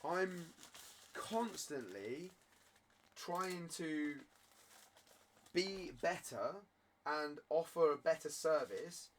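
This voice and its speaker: A man who streams and sells cards, talking earnestly to camera in a rambling way, his voice croaky from a cough. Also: a little high, animated, extremely slow, long pauses, a little hiss or hum. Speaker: card-selling live streamer. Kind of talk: candid motivational talk to camera.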